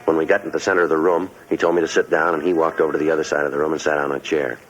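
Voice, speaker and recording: An actor playing a dogged, level-headed police detective in a 1950s radio drama, clipped and matter-of-fact. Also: male voice, rumbling low, flat delivery, very fast. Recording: muffled, noisy old 1950s radio recording.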